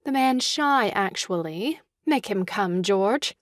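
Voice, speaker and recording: A woman's voice: mid-range voice, lively intonation, slow, dry recording, studio quality. A woman playing a slyly sweet, wheedling lady in a stage farce, in a mannered, coaxing way. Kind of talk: stage farce audio drama acting.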